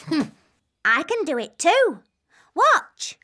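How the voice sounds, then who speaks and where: A woman's voice: extremely high pitch, big pitch swings, slow pace, pushed and bright, exaggerated voice. A woman voicing a childlike, eager and headstrong little princess in a cartoon. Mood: proud, playful. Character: excitable, headstrong little princess, a young girl.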